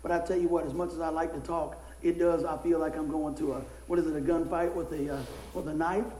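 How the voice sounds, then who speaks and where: A middle-aged man, a pastor, preaching a casual sermon with a hoarse voice that he is losing, folksy and animated in his stories. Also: fast talker, reverberant, slight background noise. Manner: folksy, animated storytelling with rising pitch in anecdotes. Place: church.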